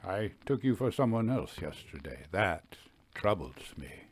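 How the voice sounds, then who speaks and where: A man playing a troubled, deferential railway signalman in a ghost story, grave and halting, in a low, hushed voice. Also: big pitch swings, slight background noise, heavily acted. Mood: troubled, confiding. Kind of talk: dramatised audiobook ghost story.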